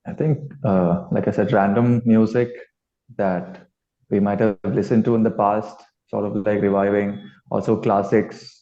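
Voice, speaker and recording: A man's voice: on the low side, fairly even intonation, unhurried. A man giving casual, tentative interview answers as a band musician, on slightly dull audio. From South India. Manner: tentative, thinking aloud.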